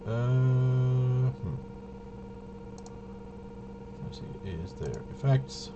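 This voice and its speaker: A man thinking aloud through solo tabletop game commentary, casual and halting, often muttering to himself as he works things out. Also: mid-range voice, animated, lo-fi sound, very noisy. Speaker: tabletop RPG player and presenter.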